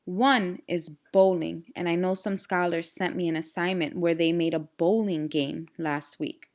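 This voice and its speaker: Slow-paced woman teacher reading to children. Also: fairly low pitch. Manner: expressive, instructional, addresses young listeners directly. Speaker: teacher reading aloud to pupils. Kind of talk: children's storybook read-aloud with simple questions.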